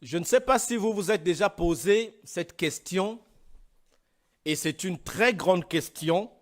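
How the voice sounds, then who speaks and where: A man preaching a sermon, from Congo, emphatic and rising into louder, higher passages. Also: animated, slow pace.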